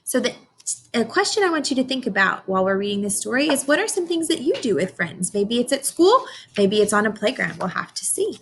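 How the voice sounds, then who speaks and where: A woman teacher reading a picture book aloud to young children, expressive, often pausing to question her listeners directly. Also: on the high side, a little hiss or hum. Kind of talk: informational read-aloud lesson for young children.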